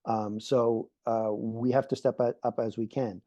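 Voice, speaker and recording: A man's voice: mid-range voice, level tone, unhurried, dark tone, no room sound. Earnest middle-aged man on a muffled video call. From Canada. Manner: earnest, repeats phrases while building points. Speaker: panelist, peace activist. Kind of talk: online panel talk.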